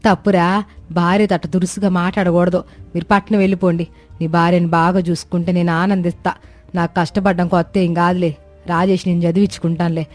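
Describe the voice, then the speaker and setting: A woman's voice: lowish voice, little pitch movement, brisk, a little mellow, faint music behind, lightly acted. A woman voicing a self-sacrificing middle-aged village mother in a cartoon story, with motherly, rustic colloquial speech. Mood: gently reproving.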